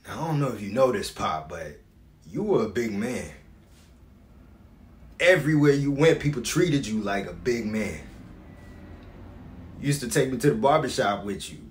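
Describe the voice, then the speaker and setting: A man's voice: on the low side, lively intonation, a little fast, lightly acted. A man acting a dramatic stage monologue as a proud, wounded grown son speaking to his father. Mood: fond, rising. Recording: echoey room.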